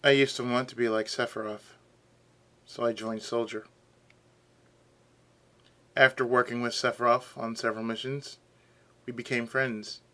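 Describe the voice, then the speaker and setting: A man reading as a quiet young swordsman. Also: medium pitch, little pitch movement, measured pace, long pauses, voice pushed down. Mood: subdued. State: hoarse. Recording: game music playing behind.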